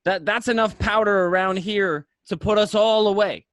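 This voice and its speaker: A man's voice: high voice, moderate pace, dry recording. A man playing a talkative, edgy gangster in a radio drama, with a conversational way of talking. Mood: exasperated. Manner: animated, conversational, repeats himself. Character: talkative, edgy male gangster recounting and scheming. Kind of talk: film script read as radio drama.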